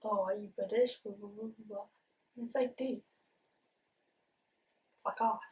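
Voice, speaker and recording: A woman's voice: mid-range voice, fairly even intonation, unhurried, a little mellow, recorded from a distance, poor audio. A young woman vlogging casually to camera with a speech impediment that blurs some sounds, earnest and open, with many ums and repeated words. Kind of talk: casual confessional talk to camera.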